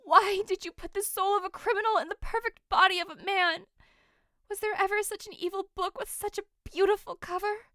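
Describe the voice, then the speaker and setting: Woman doing a distraught young girl in a shakespeare audio play, super high bright voice, really dramatic. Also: fairly even intonation, fairly quick, dry recording, clean studio sound. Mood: outraged, torn. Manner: theatrical, impassioned declaiming. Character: passionate teenage girl, newlywed noblewoman, desperate and grieving.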